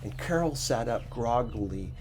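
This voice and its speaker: A man reading a first-person story expressively, with a measured, dramatic delivery. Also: mid-range voice, expressive, background noise. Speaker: audiobook narrator.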